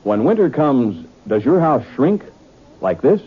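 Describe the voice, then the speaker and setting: A male announcer reads a vintage radio advertisement with a very animated swing and a rough-edged voice. The recording is thin and phone-like. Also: medium pitch, a little slow. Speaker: commercial announcer. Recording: tinny, band-limited vintage radio recording.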